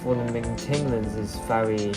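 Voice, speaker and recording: A man with a Hong Kong accent, a native Cantonese speaker, answering viewers' chat questions on a live stream in halting English, often repeating words as he searches for them. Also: mid-range voice, steady tone. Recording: music playing clearly behind.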